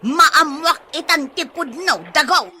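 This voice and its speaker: A high, sharp voice from an actress playing a nagging Ilocano wife. She is bossy and insistent, in the style of a rural comedy drama, with an Ilocano accent. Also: measured pace, a little hiss or hum, exaggerated voice. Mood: scolding.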